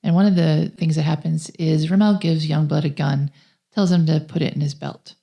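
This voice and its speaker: A woman's voice: low voice, steady tone, moderate pace, vocal fry, warm tone. A woman co-hosting a podcast, recapping a film's story in a conversational way. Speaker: podcast co-host. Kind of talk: conversational film plot recap.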